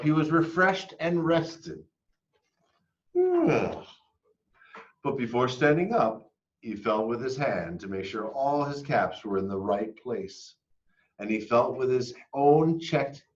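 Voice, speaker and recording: An American man reading a picture book aloud to children with dramatic, deliberate delivery. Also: mid-range voice, expressive, highs cut off. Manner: dramatic, deliberate storytelling with questions to listeners. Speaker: school read-aloud storyteller.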